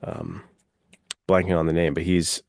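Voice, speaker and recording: A man's voice: low-pitched, fairly even intonation, unhurried, gravelly, no room sound, studio quality. A man hosting a podcast, chatting casually and enthusiastically about films in very informal, slangy speech. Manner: informal, peppered with "like" and "dude". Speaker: podcast host. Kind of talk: casual enthusiastic film chat.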